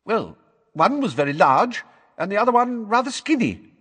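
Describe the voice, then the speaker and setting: A man's voice: sits high, sing-song, moderate pace, a bit bright, breathy edge, big-room sound, voice pushed up, heavily acted. A man voicing a nervous, batty old woman with a quavery, flustered voice, for an audiobook. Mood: nervous gabbling. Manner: gabbling as if reciting by heart.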